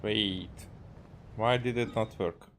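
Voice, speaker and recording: A man from Hungary with a Hungarian accent gives casual, halting gameplay commentary, half to himself as he streams. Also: lowish voice, fairly bright tone, a little hiss or hum. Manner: halting, muttering to himself while playing. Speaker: game streamer. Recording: game music behind. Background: video game music.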